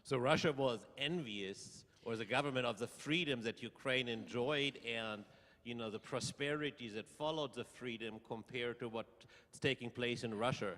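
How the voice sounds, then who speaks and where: Guy asking the questions at church, sums up what she says, boomy hall. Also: moderate pace, fairly bright tone. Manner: leading questions, recaps answers. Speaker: interviewer. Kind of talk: church testimony interview.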